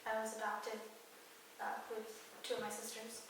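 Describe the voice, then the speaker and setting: A young woman from Ethiopia telling her personal story in a relaxed way, with lots of fillers like "um" and "like.". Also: a little high, normal speed, a little hiss or hum. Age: young adult. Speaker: interviewee. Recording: echoey room, mic at a distance.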